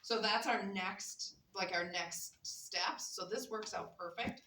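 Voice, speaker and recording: A woman instructor talks casually to her class, with some fillers as she goes. She is picked up from across an echoey classroom, with clear background noise and clicks around her. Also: medium pitch, slow, bright, forceful tone, distant mic. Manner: chatty, with fillers. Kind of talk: introducing a guest to a class.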